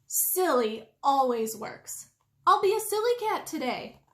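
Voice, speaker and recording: Woman voicing an eager, high-pitched storybook cat. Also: big pitch swings, measured pace, a bit bright, a little hiss or hum, heavily acted. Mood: playful. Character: cheerful curious young male library cat, eager to help. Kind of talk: children's storybook read-aloud. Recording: roomy indoor echo.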